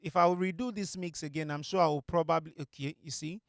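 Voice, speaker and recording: A man's voice: on the high side, steady tone, quick pace, creaky. A young man, a music producer, talking through a vocal mix in a casual tutorial from his small home studio, with many fillers and restarts. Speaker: music producer teaching mixing. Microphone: studio mic on a stand.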